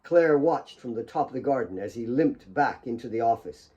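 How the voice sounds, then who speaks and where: Mellow man narrating a dramatic audiobook. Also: medium pitch, expressive, moderate pace, highs cut off. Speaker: author reading own audiobook. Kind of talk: dramatic scripted fiction narration.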